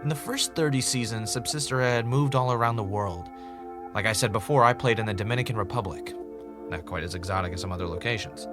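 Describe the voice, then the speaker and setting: A man's voice: lowish voice, quick pace, music in the background, close to an everyday voice. A man playing an earnest, dogged podcaster investigating a mystery in a naturalistic audio drama, with a wry, self-dramatizing streak. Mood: measured, serious. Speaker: podcast host in a scripted drama.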